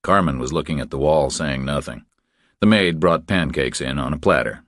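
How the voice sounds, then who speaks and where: A man's voice: rumbling low, moderate pace, fairly bright tone, no room sound, studio quality. A man reading a crime thriller audiobook as scripted narration.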